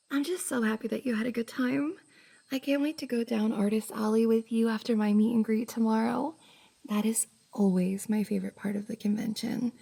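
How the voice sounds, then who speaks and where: A woman playing a shy, bubbly young cosplayer and affectionate best friend in an intimate roleplay ASMR, soft, hesitant and giggly. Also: a little high. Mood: warm, enthusiastic. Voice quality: soft, breathy, slightly husky. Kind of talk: F4M roleplay ASMR, intimate one-sided conversation.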